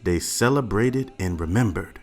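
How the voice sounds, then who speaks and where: A man reading a father's part in a family picture-book read-aloud, telling his little daughter their family's history in a slow, expressive way. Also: low-pitched. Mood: storytelling. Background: faint added music.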